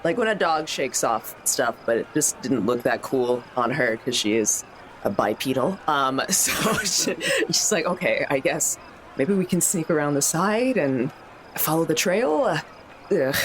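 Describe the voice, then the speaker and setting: A man's voice: on the high side, animated, a little slow. A man playing a tabletop game, casually narrating a character in a rambling, self-interrupting way. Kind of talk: casual improvised roleplay narration. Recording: faint background noise.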